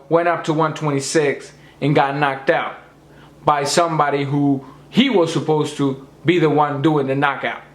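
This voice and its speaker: An emphatic man with a hoarse, slightly high voice lectures his viewers and hammers each point home. His voice bounces around an echoey room. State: losing his voice. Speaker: boxing commentator. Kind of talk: opinionated rant to camera.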